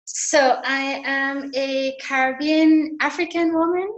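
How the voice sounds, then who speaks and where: A middle-aged woman from Guyana, a fashion designer and interview guest, talking slowly and thoughtfully with many pauses and ums. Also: high-pitched, flat delivery, highs cut off. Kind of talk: online video interview. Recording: echoey room over video call.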